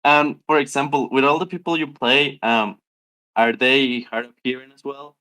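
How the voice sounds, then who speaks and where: An interviewer with a mid-range pitch asks questions in a halting, friendly way. Their sentences wander through many fillers. They offer short words of encouragement along the way. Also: fairly even intonation, sharp tone, poor audio. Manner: hesitant, many fillers, supportive backchannels.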